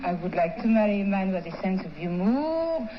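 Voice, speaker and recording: A woman with a French accent, a native French speaker, answering questions in halting, coy English. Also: mid-range voice, steady tone. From France. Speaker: contestant, nightclub singer. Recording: muffled vintage broadcast, noisy.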